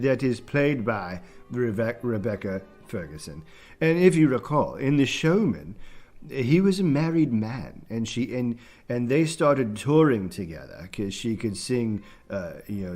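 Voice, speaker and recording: A man voicing a pompous, easily distracted British film critic in a halting, rambling comic monologue. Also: mid-range voice, a little mellow. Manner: halting, rambling, self-important. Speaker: podcast film reviewer.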